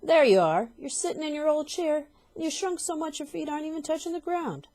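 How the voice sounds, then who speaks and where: A woman voicing a nasty old husband who taunts his wife in a loud, shouty way. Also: mid-range voice, big pitch swings, moderate pace. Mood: gloating taunts.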